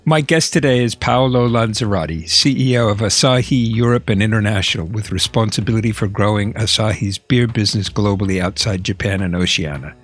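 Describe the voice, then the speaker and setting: Podcast host guy, kinda low voice, faint music behind, clear prepared delivery. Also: fairly quick, hardly any pauses. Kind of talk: scripted intro and interview questions.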